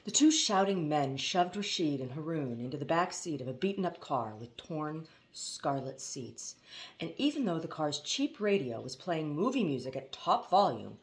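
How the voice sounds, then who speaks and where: Low-voiced woman reading a story. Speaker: audiobook reader. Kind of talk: expressive storybook narration.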